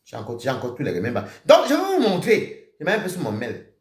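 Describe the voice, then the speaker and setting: Heated, animated man in an echoey room. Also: a little high, a little fast. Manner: accusatory, repetitive, swings between shouting and muttering. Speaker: live-stream host. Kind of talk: heated live-stream rant.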